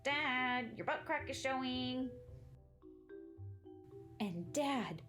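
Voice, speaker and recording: Young storybook boy, played by a woman, imaginative and dramatic, high and unhurried, exaggerated rhyming delivery. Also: expressive, a bit bright, soft background music, full character voice. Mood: shocked. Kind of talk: animated storybook read-aloud.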